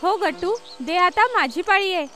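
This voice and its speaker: Very high, bright little girl. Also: moderate pace. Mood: excited.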